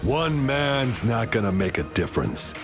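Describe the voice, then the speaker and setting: An actor playing a ruthless gang boss in a video game, giving curt, impatient orders. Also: male voice, medium pitch, big pitch swings, measured pace, music in the background. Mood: cold. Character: cold ruthless gang boss, adult man.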